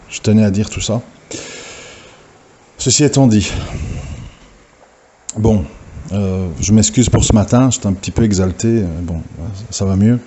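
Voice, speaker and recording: Man, informal religious lecture, hesitant, many fillers, deep, soft dark tone. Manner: hesitant, frequent "euh" and restarts, lecturing. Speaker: religious preacher. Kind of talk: informal recorded audio talk.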